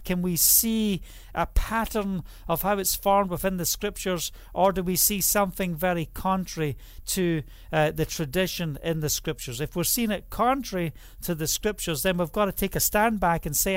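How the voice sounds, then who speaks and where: A man's voice: high voice, moderate pace, clear, resonant tone, dry recording, clean studio sound. A middle-aged man with a Scottish accent preaching a sermon, reading scripture aloud and putting questions to the audience before answering them himself. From Scotland. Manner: reads scripture aloud then explains it.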